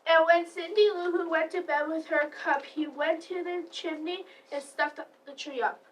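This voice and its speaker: School-age girl narrator, high voice, reading a storybook aloud; distant mic with faint noise. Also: fairly even intonation, moderate pace. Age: child, about 11 to 12. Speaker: student narrator. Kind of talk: readers theater story narration. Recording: distant mic, noisy live stream.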